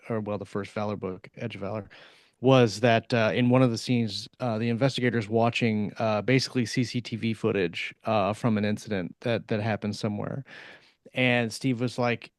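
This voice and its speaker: A man's voice: fairly low pitch, steady tone, moderate pace, no room sound, clean studio sound. A man co-hosting a casual podcast, telling anecdotes about his own writing in a self-deprecating way. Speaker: podcast co-host and author.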